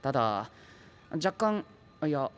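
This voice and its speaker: A man playing a dignified, courteous princely youth in an audio drama, speaking formally with stiff gentlemanly phrasing. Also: medium pitch, big pitch swings, takes it slowly, smooth. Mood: courteous, assured. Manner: stiff gentlemanly speech sprinkled with English words. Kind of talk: anime-style audio drama acting.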